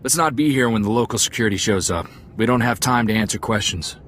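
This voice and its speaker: Man as a lowish, cautious sidekick. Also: fast, fairly bright tone, slight background noise, close to an everyday voice. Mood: wary. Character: earnest, cautious male sidekick. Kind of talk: video game acting.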